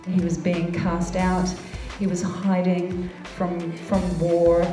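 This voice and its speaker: Flat, low-voiced woman narrating. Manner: slow and even. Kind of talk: scripted narration. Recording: roomy voice-over with faint music.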